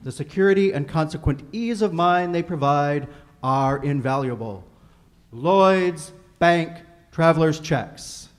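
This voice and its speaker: A man playing a polished 1940s radio announcer and sponsor pitchman, reading formal, theatrical scripted copy. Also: animated, unhurried. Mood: persuasive.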